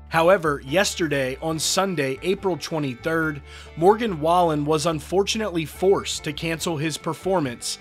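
Upbeat male news host, a bit high. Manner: upbeat, reads quotes aloud. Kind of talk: scripted entertainment news narration.

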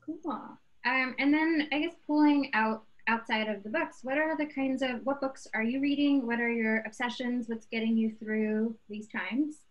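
A woman's voice: a little high, steady tone, normal speed, hardly any pauses, highs cut off. A young adult woman moderating a book club talk over video call with casual questions. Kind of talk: casual interviewing. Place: indoor room, on a video call. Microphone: computer mic on a video call.

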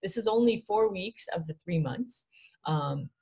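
A woman's voice: lowish voice, lively intonation, normal speed, gravelly, warm tone, slightly rough. A woman researcher carefully explaining early data, hedging and repeating phrases, on a video call. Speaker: guest physician researcher.

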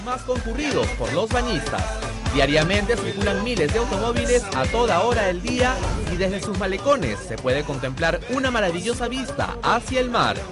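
A man's voice: high-pitched, animated, normal speed. A man narrating a scripted television report as a reporter. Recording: voice-over under very loud music. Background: very loud music.

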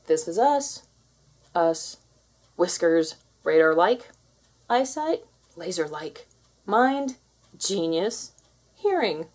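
Woman reading as a smug, sassy cat, swooping pitch. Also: big pitch swings, slow, long pauses, slightly rough, full character voice. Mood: smug, boastful. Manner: boastful, theatrical. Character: smug, sassy alpha cat lecturing younger cats. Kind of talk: children's storybook read-aloud.

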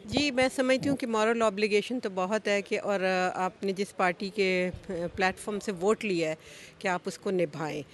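A woman in her fifties with a Pakistani accent, giving measured, halting interview answers and mixing in English terms. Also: mid-range voice. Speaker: interviewed politician. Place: outdoors, parliament forecourt. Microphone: handheld interview microphone. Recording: faint outdoor ambient noise.

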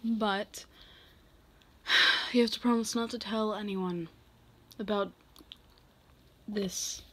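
A woman playing a reserved office boss who is guarded but softening, speaking haltingly in an intimate ASMR roleplay. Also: medium pitch, fairly even intonation. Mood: confiding, hesitant. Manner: halting, measured delivery with sighs.

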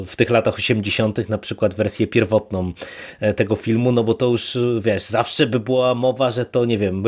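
A man's voice: lowish voice, a little mellow. A man co-hosting a podcast in casual chat, informal and opinionated. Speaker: podcast co-host. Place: virtual studio, remote podcast recording.

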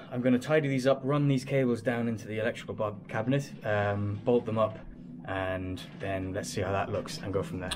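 Low-voiced man explaining as he works, noisy background. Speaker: boat restorer presenting a vlog. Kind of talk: casual explanatory vlog talk while working. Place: small wooden boat cabin, indoors.

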